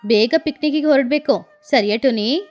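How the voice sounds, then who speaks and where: A woman's voice: high-pitched, a little fast, a little mellow, lightly acted. A woman voicing a bossy, boastful, eccentric female crow in a cartoon story. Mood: bossy, eager. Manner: bossy, self-assured. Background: background music.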